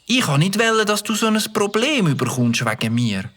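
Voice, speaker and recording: An actor playing an earnest, good-hearted young schoolboy in a children's audio drama. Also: male voice, sits high, sing-song, fairly quick, fairly bright tone, full character voice. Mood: remorseful.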